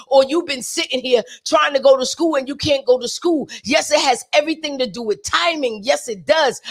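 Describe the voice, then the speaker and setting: A woman with a raspy voice gives a fervent, preachy testimony to her livestream viewers, talking straight to them and repeating her points for emphasis. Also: sits high. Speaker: singer sharing a testimony with viewers. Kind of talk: preachy motivational livestream talk.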